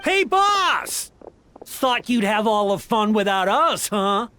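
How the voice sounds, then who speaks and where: Game role: eager gang sidekick, sharp high voice, slow animated delivery, loyal. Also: male voice, slight background noise, exaggerated voice. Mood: eager, cheeky.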